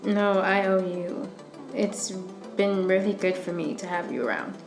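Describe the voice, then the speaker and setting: An actress playing an earnest, guarded young student in a radio thriller, expressive and conversational. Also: female voice, medium pitch, unhurried, gravelly. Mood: warm, sincere. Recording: echoey room, music underneath.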